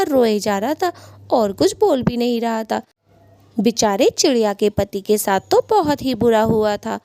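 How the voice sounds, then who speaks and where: A female storyteller with a mellow, softly rounded voice at a middle pitch. She narrates a scripted cartoon tale, recorded dry and close. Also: no echo.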